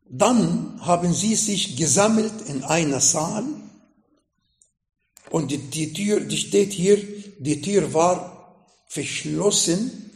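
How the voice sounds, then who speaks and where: A man with an Arabic accent preaching a sermon in slow, emphatic phrases that rise sharply in pitch. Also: expressive, a little mellow, echoey room. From Middle East. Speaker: pastor.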